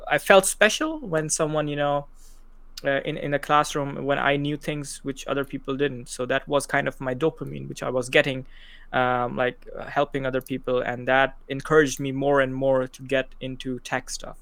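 Man in his twenties, hesitant casual talk, smooth voice, monotone. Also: medium pitch, normal speed, no echo. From Pakistan. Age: 20s. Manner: hesitant, many fillers and restarts. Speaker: podcast guest. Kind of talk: casual interview chat.

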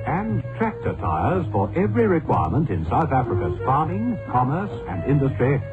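A man's voice: mid-range voice, huge pitch swings, phone-quality sound. Dramatic male radio announcer over music. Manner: measured, dramatic delivery. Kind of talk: formal 1960s-70s radio announcing.

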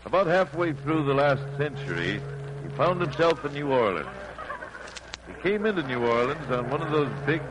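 A man's voice: a little high, very animated, normal speed, background noise. An actor playing a homespun storyteller, narrating his father's tales in a 1940s radio drama. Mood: fond storytelling. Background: music.

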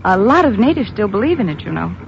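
An actress playing a gracious, composed colonial hostess with a polite manner in a 1950s radio drama. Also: female voice, mid-range voice, sing-song, fast, gravelly. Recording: muffled, noisy old radio recording.

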